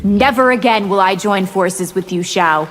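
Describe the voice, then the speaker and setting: A woman's voice: medium pitch, normal speed, slight background noise, lightly acted. A woman voicing a cold, scheming winged vampire warrior who taunts her foes with disdain in a fighting video game. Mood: cold defiant. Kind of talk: video game character dialogue. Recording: long reverb, loud music behind.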